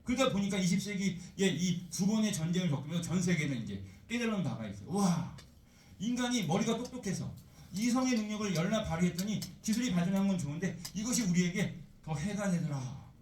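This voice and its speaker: A male teacher giving a chatty, animated lecture, full of rhetorical questions. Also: sits high, brisk, reverberant, distant mic. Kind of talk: classroom lecture.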